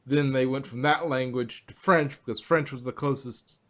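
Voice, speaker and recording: A man co-hosting a review podcast in a voice of middling pitch. He rambles and works through his ideas out loud, using lots of fillers and wry remarks. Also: fairly even intonation, moderate pace. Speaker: podcast co-host. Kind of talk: casual review chat.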